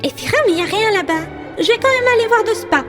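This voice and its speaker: A woman puts on a mythical young female for an audio play. The voice is extremely high, haughty and brisk, with clear music behind it. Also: lively intonation. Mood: concerned, brisk. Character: young Greek goddess or siren, haughty and brisk. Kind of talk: amateur audio drama acting.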